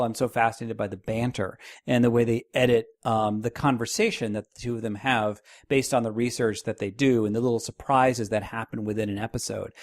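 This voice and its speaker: Reflective, halting man of average pitch. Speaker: podcast host as guest. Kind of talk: casual panel chat.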